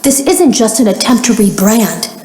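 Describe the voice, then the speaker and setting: A woman playing an anxious game developer recording secret warning logs for a video game, speaking in a measured, grave way. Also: fairly high pitch, very animated, darkish tone, echoey room, a little hiss or hum. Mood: grave, accusing. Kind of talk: recorded log monologue, dramatic game voice acting.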